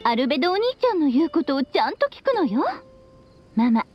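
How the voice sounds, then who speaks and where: A doting anime-game witch mother with a high voice. She tells stories tenderly and slips in playful teasing. The sound is slightly muffled, with music underneath. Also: very animated, a little fast. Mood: playful, breezy. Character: playful, loving, long-lived witch mother addressing her child. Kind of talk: Japanese anime game voice acting. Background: background music.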